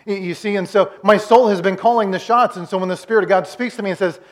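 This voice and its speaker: A pastor in his forties with a notably high voice delivers a relaxed, conversational sermon. He often stumbles and repeats words as he recaps earlier teaching. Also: fast. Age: 40s.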